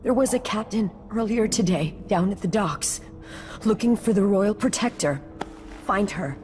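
A woman's voice: medium pitch, expressive, moderate pace, a little mellow, a little hiss or hum, close to an everyday voice. A woman playing an urgent figure giving warnings in a dramatic video game scene.

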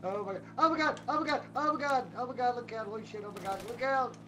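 A man chattering excitably while playing a video game, often repeating his exclamations. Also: very high voice, fast. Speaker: gamer. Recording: loud gunfire and game noise behind. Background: video game gunfire.

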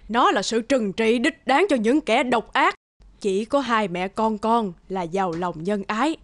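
An actress playing a humble old beggar woman in an animated folk tale, speaking slowly and haltingly. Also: a little high, no echo. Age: older. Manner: slow, pleading, halting. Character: frail, humble old beggar woman, secretly wise spirit.

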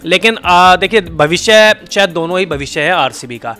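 A man's voice: high-pitched, animated, moderate pace, sharp tone, faint music behind. A man in his thirties, a cricket analyst on a studio panel, thinking aloud and explaining at length into a handheld mic. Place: indoor TV studio set.

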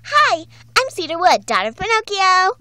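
A woman's voice: extremely high pitch, sing-song, moderate pace, pushed and bright, slight background noise, voice pushed up, heavily acted. A woman voicing a perky, girlish teenage doll character with emphatic delivery, like an amateur cartoon audition. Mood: annoyed.

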